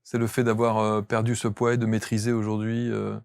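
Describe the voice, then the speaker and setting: A man's voice: on the low side, completely flat delivery, brisk, gravelly, a little mellow, dry recording, studio quality. A man hosting a conversational interview, putting questions to his guest. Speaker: talk show interviewer.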